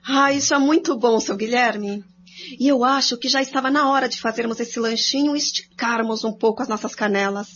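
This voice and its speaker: An actress playing a friendly, obliging research companion in a radio drama, with a Brazilian accent. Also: female voice, on the high side, fairly quick, a bit bright, close to an everyday voice. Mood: cheerful, agreeable. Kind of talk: scripted radio drama acting. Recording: dull lo-fi audio with faint hum.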